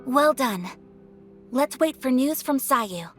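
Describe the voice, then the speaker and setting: A poised young noble leader in a game cutscene. Speech is formal, measured and earnest in a fairly high voice, with music behind. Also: female voice, animated. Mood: calm resolve. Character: composed, dutiful young noblewoman, strategist and leader. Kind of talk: video game cutscene voice acting.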